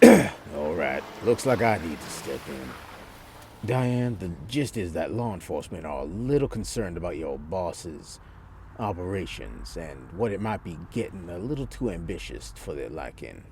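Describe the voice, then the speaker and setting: A man's voice: sits low, sing-song, normal speed, darkish tone, slight background noise. An actor playing a blunt, hard-nosed male undercover operative in an audio drama, with a dry, formal manner. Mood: firm, threatening.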